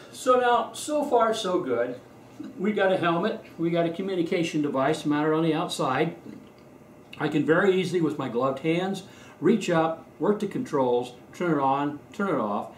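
American guy doing a casual garage demo, bit echoey with faint noise, explains stuff step by step. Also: a little high. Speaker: presenter of a how-to video. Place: indoors in a home garage workshop.

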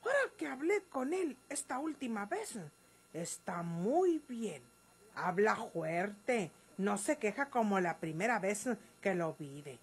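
A woman's voice: mid-range voice, sing-song, slow pace, slightly rough, heavily acted. An actress playing a stubborn, folksy woman with a rural Mexican accent in a radio drama. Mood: earnest, evasive.

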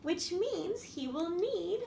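A middle-aged woman reading a picture book aloud as a children's storytime host, expressive and sing-song, talking directly to young children. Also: extremely high pitch, slow pace, creaky. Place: indoor room at a wooden table by a window. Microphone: camera across the table. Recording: echoey room with background noise.

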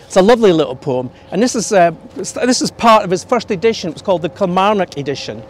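A man's voice: high-pitched, expressive, quick pace, slight background noise. A middle-aged Scottish man, a tour guide, telling stories outdoors in a snowy park and reading verse aloud from a folder, filmed by a camera at a distance. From Scotland.

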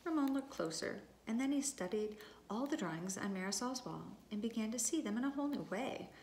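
A woman preschool teacher reading a story aloud to young children, expressive and deliberate, speaking to them directly. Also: fairly high pitch. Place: empty classroom. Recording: echoey room with background noise.

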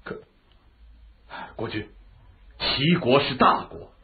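A man's voice: medium pitch, animated, takes it slowly. An actor playing a loyal, battle-scarred general addressing his lord, earnest, formal and emphatic, in a Chinese historical radio drama. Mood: earnest, cautioning. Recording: muffled, phone-like lo-fi radio drama audio.

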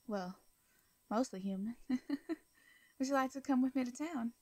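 A woman playing a caring, protective young female farmer in a roleplay audio drama, with a Southern US accent and a soft, hesitant, folksy way of talking. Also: sits high, a little slow, no echo. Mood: friendly, reassuring.

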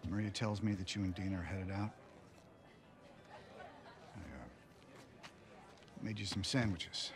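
A gruff man in his sixties with a low voice. He speaks haltingly and sheepishly, in a natural cinematic video game style. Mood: awkward, contrite. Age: 60s. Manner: halting, hesitant. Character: gruff older man, awkward and sheepishly apologetic.

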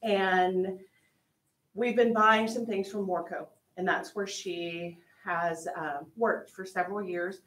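A woman's voice: medium pitch, steady tone, slow, a bit bright, reverberant. A woman presenting informally at a school board meeting, telling a story with fillers and light laughs. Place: meeting room, large indoor.

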